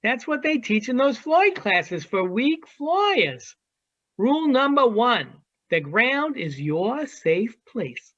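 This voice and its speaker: A woman acting as a teasing, worldly adult seagull in an amateur virtual one-act play, with drawn-out delivery. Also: on the high side, sing-song, a bit bright, full character voice. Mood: matter-of-fact. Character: teasing, worldly adult seagull perched high above the city. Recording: dull lo-fi video call audio.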